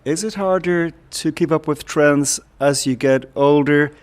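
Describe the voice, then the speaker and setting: A man's voice: a little high, measured pace. A man interviewing a guest, putting his questions and remarks in a conversational way.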